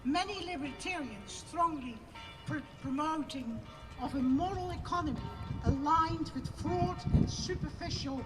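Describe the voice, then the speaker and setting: A woman with a Dutch accent giving an impassioned, declamatory protest speech outdoors at a rally, building to loud emphatic peaks. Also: high-pitched. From Netherlands. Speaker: protest speaker. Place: outdoor rally on parliament steps. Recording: distant mic, loud outdoor noise.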